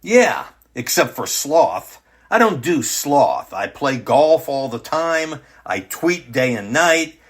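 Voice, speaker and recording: A man playing a boastful, petulant self-crowned ruler in a satirical radio drama, pompous, dismissive and quick to interrupt. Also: medium pitch, very animated, breathy edge, full character voice.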